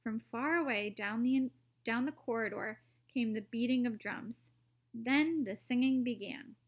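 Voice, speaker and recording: Slow-paced woman reading a storybook. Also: fairly high pitch. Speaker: read-aloud narrator of a children's book. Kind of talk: expressive storybook narration.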